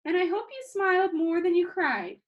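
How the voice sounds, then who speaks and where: A woman's voice: very high voice, very animated, moderate pace, dull audio, voice pushed up. A woman voicing a dying teenage boy who makes playful, dramatic farewell videos in a storybook. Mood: upbeat, joking.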